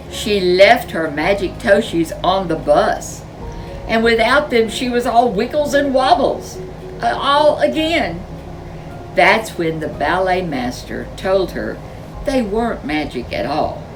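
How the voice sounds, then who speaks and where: Animated woman storyteller over music. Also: mid-range voice, recorded from a distance, low-quality recording. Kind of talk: expressive children's storybook reading.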